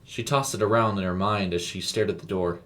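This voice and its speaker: A man reading scripted story narration in a measured, descriptive way. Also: fairly bright tone. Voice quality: low-pitched.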